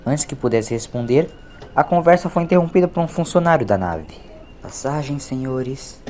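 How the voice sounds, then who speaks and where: A man's voice: on the high side, sing-song, fairly quick, dark tone. Brazilian male narrator over noisy ambience. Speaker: audiobook narrator. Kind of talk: scripted story narration.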